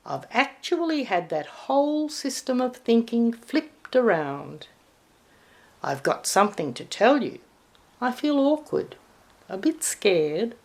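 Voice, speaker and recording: A woman voicing an earnest, warm-hearted Santa in a storybook poem, hesitant and a little awkward. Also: a little high, big pitch swings, takes it slowly, pitched above the performer's own voice, heavily acted.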